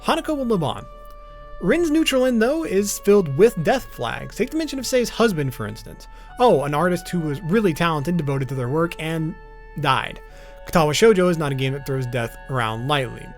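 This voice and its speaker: A man's voice: on the high side, very animated, normal speed, faint music behind. A man narrating an explainer video about a visual novel.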